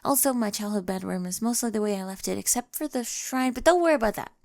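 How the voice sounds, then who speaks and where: A woman's voice: medium pitch, fast, gravelly, a little mellow, lightly acted. A woman playing a quirky girlfriend in an ASMR roleplay, casual, wry and reassuring, fondly exasperated. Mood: nervous, reassuring.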